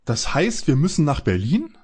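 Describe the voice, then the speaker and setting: A man playing an excitable, fretful teenage detective in an audio drama parody. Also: fairly high pitch, moderate pace, husky, full character voice. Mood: worried.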